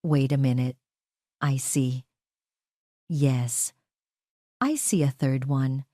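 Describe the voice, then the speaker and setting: A woman acts out a female doctor in a storytelling drama. She is professional and attentive, with a touch of wonder. Her voice sits low and mellow in a very dry recording. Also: extremely slow, dry recording, exaggerated voice. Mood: amazed. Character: female clinic doctor, professional, quietly amazed. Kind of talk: audio drama character dialogue.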